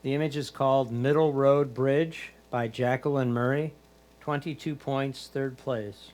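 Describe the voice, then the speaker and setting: A man's voice: mid-range voice, fairly even intonation, unhurried, a little mellow. A man working as a competition score announcer, reading out titles and scores in a formulaic way.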